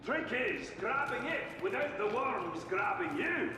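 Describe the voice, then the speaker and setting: An actor playing a jovial, eccentric dwarf tinkerer in a video game, with a folksy, teasing manner. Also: male voice, very high-pitched, animated, a little slow, noisy background. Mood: jovial, knowing. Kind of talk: animated video game character acting. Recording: roomy reverb under game music.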